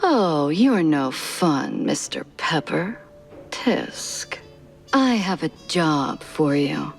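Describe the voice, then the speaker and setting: An actress playing a sultry, theatrical former film star and femme fatale in a noir video game. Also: female voice, low voice, very animated, very slow, vocal fry, faint music behind, heavily acted. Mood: coy, teasing.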